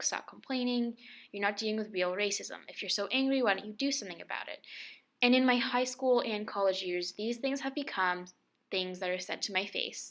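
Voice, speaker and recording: A young woman reading a personal essay as voice-over, soft and reflective, often close to a whisper. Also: medium pitch. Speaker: student filmmaker narrating.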